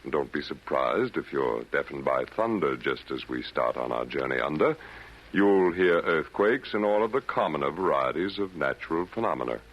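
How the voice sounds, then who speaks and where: A man narrating a scripted 1940s radio broadcast as announcer, formal, measured and theatrical. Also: rumbling low, animated, dull audio.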